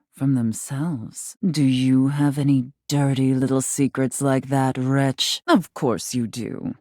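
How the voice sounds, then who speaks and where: Haughty low-voiced dominatrix mistress. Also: female voice, a little mellow, no room sound, clean studio sound, heavily acted. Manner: imperious, condescending, drawn-out seductive menace. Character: haughty dominatrix mistress, a living safe, contemptuous and teasing. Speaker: video game character voice. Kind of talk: theatrical scripted game dialogue.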